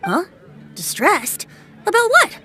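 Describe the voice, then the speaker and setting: A woman's voice: very high voice, huge pitch swings, slow, sharp tone, a faint crowd, full character voice. An actress playing a playful, carefree young male bard in a video game, with a theatrical, lilting, breezy delivery. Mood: lighthearted, reasoning.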